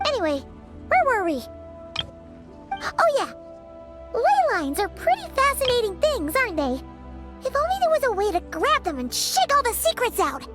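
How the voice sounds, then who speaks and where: Game fairy sidekick: tiny, very high squeaky voice, cutesy, swooping delivery over soft music. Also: female voice, moderate pace, pauses often, full character voice. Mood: relieved, chatty. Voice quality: squeaky, childlike. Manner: cutesy, dramatic, expressive. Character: tiny childlike floating fairy companion, chatty and excitable. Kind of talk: video game voice acting.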